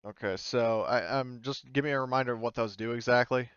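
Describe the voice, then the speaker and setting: A man running a tabletop game as game master, narrating casually and haltingly as he searches for his words. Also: mid-range voice, fairly bright tone, smooth, no echo, muffled recording.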